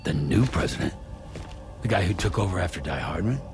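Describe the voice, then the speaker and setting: Low-pitched, skeptical male courier. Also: lively intonation, fairly quick, music in the background. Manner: terse, skeptical. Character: wary, blunt male courier questioning his superiors. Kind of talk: scripted game cutscene dialogue.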